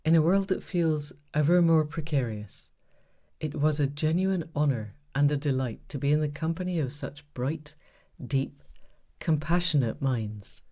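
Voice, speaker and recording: A woman hosting a podcast, giving an earnest, measured introduction. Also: sits low, dark tone. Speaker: podcast host.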